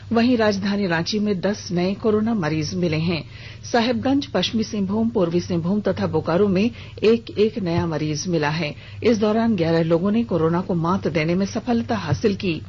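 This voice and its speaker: Woman reading news on noisy lo-fi radio. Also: mid-range voice. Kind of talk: scripted regional news bulletin. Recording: dull lo-fi radio broadcast with hiss.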